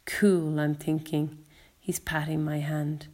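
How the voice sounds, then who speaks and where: A woman's voice: deep voice, level tone, slow pace, a little mellow. A woman voicing a frank, sardonic young boy who tells his own story in a chatty, colloquial audiobook narration. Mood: softening. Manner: chatty, colloquial inner monologue. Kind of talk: first-person audiobook narration.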